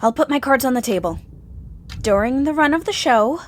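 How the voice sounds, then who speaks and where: Inquisitive, earnest sleuth, slightly awkward, high voice with lively intonation, comedic audio play. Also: female voice, normal speed, a little hiss or hum. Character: earnest amateur-sleuth actress, married mother.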